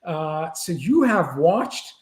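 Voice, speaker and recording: A man's voice: fairly high pitch, very animated, takes it slowly. A man co-hosting an interview, asking long, rambling questions full of fillers. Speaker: podcast co-host. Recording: echoey room.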